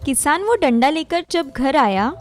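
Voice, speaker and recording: High-voiced woman narrating a moral tale. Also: animated, measured pace, soft background music. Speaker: storyteller narrator. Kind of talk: scripted moral-story narration for animated tales.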